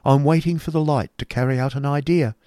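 A fussy, talkative middle-aged woman painter, as a man puts her on, her voice lifted high and fluttering as she chatters away. She gushes and exclaims over every little thing. The sound is close and dry. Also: fairly quick, warm tone, no echo, close to an everyday voice. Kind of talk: audiobook character voice.

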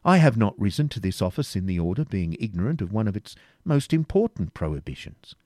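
A man's voice: on the low side, sing-song, a little fast, warm tone, no echo, studio quality. A man voicing a hypocritical preceptor who feigns humility and stammers in flustered alarm. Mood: flustered, humble.

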